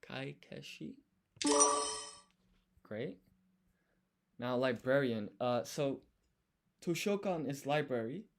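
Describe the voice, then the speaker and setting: A man's voice: medium pitch, lively intonation, takes it slowly, long pauses, a little hiss or hum. A man livestreaming casually as he studies, thinking aloud and sounding out words syllable by syllable. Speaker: live streamer studying Japanese. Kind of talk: casual live study chat.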